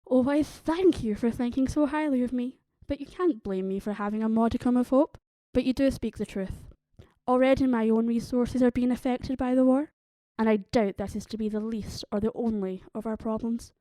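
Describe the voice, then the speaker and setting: A woman's voice: on the high side, moderate pace, dark tone, no room sound, natural voice. A woman playing a stern, haughty vampire leader in an audio drama, formal, guarded and imperious in her speech. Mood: grave, measured.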